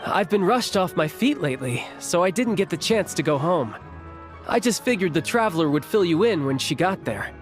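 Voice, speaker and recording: A man's voice: sits high, big pitch swings, normal speed, faint music behind. A man voicing a cheerful, teasing young big brother in a game cutscene, breezy and familiar. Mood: apologetic. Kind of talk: animated game cutscene acting.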